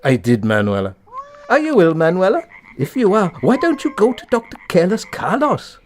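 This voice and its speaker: A man's voice: high voice, huge pitch swings, normal speed, a little hiss or hum. A man playing a nosy, tactless, gossiping villager in a comic radio play. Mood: inquisitive.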